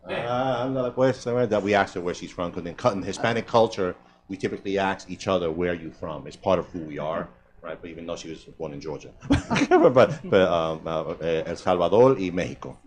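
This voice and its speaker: A man with a Cuban accent hosting a radio interview, switching between Spanish and English and pausing on fillers as he relays guests' words in Spanish. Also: sits low, a little hiss or hum. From Cuban and Puerto Rican heritage. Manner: halting, many fillers and restarts. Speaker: radio host. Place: radio studio.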